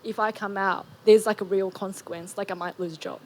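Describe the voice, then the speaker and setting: A woman from Japan in the audience, asking a halting question full of "like". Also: mid-range voice, steady tone. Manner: halting, heavy use of "like". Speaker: audience member.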